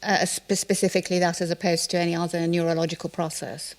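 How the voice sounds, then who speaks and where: A woman in a lecture audience asking a probing question in a halting, spontaneous way. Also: fairly low pitch, level tone.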